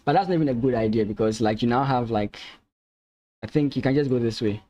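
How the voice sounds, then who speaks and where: A man's voice: medium pitch, quick pace, muffled recording, a little hiss or hum. A man from Ghana, a native Ga speaker, commentating chess lines on a live stream, thinking aloud with hesitant restarts and easy laughter.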